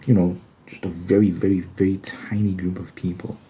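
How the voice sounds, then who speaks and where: Low, mellow-voiced man in informal ASMR monologue. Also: level tone, normal speed, slight background noise, rough recording. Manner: questioning, emphatic, uses rhetorical questions. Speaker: host of an ASMR Bible study channel. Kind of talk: informal ASMR monologue, argumentative Bible commentary.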